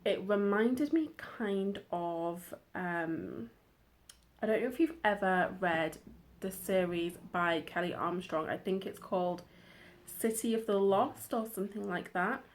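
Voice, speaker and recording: A woman from the United Kingdom chats about the books she picked up. She rambles a little, breaks off for side notes and leans on fillers. Her tone stays relaxed and conversational. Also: mid-range voice, unhurried. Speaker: book vlogger. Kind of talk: casual chat.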